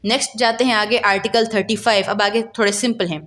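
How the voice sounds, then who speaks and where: A woman's voice: medium pitch, steady tone, fast, a bit bright. A woman with a Pakistani accent lecturing on law, reading passages aloud and explaining them in a didactic way with frequent rephrasing. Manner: didactic, repeats and rephrases points, checks in often.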